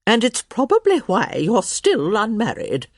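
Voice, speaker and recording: A woman voicing an elderly countess, sharp, theatrical and blunt, in a story reading. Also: on the high side, wildly swooping pitch, a little slow, no echo, clean studio sound, voice pushed up, full character voice. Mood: bossy.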